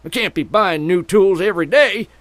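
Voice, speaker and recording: An actor playing a grumpy, folksy old filling station owner in a radio mystery comedy, gruff and curt. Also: male voice, high-pitched, moderate pace, fairly bright tone, dry recording. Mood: grumbling, aggrieved.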